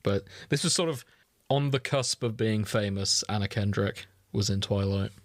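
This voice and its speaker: A man's voice: fairly low pitch, moderate pace, dry recording. Wry man bantering, dry close sound. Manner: wry, jokey, swearing. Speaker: podcast co-host. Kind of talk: casual comedic chat.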